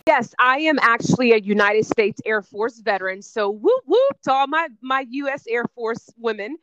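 Smooth, self-assured woman guest. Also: fairly high pitch, big pitch swings, normal speed, a bit bright, no echo, highs cut off. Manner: emphatic, self-assured, lists credentials. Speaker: guest, fitness and wellness coach. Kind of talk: energetic interview answers.

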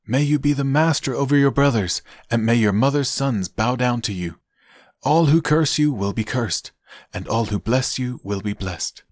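An aged, frail father from a scripture tale, acted by a man reading aloud. He speaks with solemn weight, declaiming deliberately in a raised voice. The sound is close and dry. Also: mid-range voice, no echo, pitched above the performer's own voice, natural voice. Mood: solemn blessing. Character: old frail solemn father, a biblical patriarch blessing sons.

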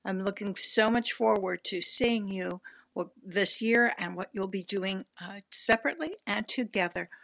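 A woman's voice: mid-range voice, normal speed, vocal fry, fairly bright tone, dry recording. A woman from the United States giving an admiring fan message with a few small stumbles.

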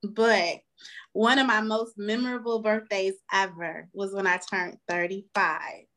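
A woman's voice: medium pitch, fairly even intonation, a little slow, bright, forceful tone. A woman in her forties with an American accent, co-hosting a podcast and telling personal stories in casual, easygoing slang. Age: 40s. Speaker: podcast co-host.

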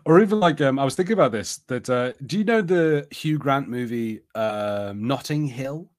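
A man's voice: mid-range voice, lively intonation, normal speed, no echo, clean studio sound. A man with an English accent co-hosting a podcast, talking casually in a film and TV review discussion. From England. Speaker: podcast co-host.

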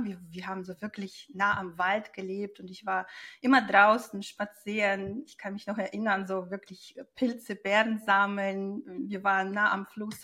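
A woman's voice: medium pitch, a bit bright. A woman from Siberia, a native Russian speaker, casually telling personal stories as a podcast guest, searching a little for words. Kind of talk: casual personal storytelling in an interview.

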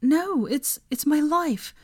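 Woman playing a high, fretful young witch. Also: lively intonation, slow pace, a little mellow, no echo, studio quality. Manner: insistent, agitated, protesting.

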